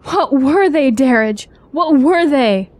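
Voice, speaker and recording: An actress playing an anxious, questioning traveler in a fantasy radio drama, with formal, earnest phrasing. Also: female voice, high voice, expressive, slow pace. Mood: frightened.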